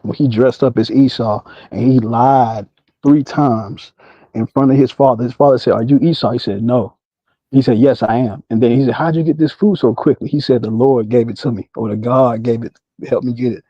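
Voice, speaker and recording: A man's voice: medium pitch, normal speed, slightly rough, dry recording. An American man calling into a casual debate, needling his opponent with challenging questions over a lo-fi online call.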